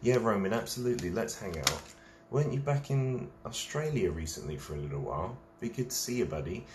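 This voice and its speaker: Deep-voiced man chatting on a live stream. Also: lively intonation, brisk, a little mellow, echoey room, slight background noise. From England. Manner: chatty, reads out and answers viewer comments. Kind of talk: casual live-stream chat while eating. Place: home at night, live stream.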